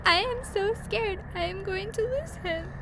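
High-voiced, earnest alien little girl, played by a woman. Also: measured pace, slight background noise. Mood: worried, insistent. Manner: stiffly formal, avoids contractions. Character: earnest young alien girl desperate to save her parents. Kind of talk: audio drama acting.

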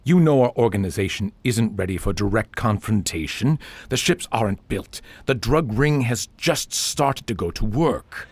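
A man playing a composed, calculating crime schemer in a science fiction radio drama, with a measured way of talking. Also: lowish voice, lively intonation, no room sound. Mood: calculating, firm.

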